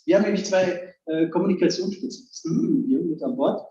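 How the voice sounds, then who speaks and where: A male host leads a casual talk show in a friendly, conversational manner with a mellow voice. The sound is roomy and somewhat dull. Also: high voice, fairly quick.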